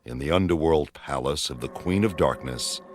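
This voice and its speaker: An actor narrating a science-fiction radio play as a dramatic, deliberate storyteller with a gravelly voice. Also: male voice, very deep voice. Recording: music underneath.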